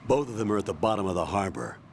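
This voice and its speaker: An actor playing a stern colonel who gives orders over the radio, speaking in a firm, calm and commanding way in a video game cutscene. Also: male voice, sits low, very fast, slight background noise.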